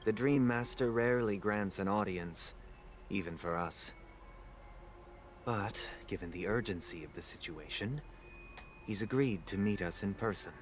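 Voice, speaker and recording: An actor playing a weary, courteous young nobleman in a video game, speaking in a formal, measured way. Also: fairly low pitch, a little hiss or hum, lightly acted. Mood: polite. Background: game music.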